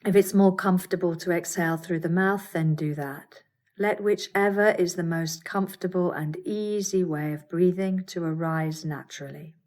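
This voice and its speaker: Female yoga nidra guide, lowish register, soothing and measured instruction for a meditation practice. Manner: calm, soothing, deliberate instruction with pauses. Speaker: yoga nidra teacher guiding a relaxation practice.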